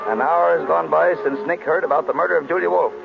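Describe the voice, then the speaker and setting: Male narrator, very creaky voice, brisk scripted delivery, tinny vintage radio, loud music behind. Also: on the high side. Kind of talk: 1930s radio drama narration.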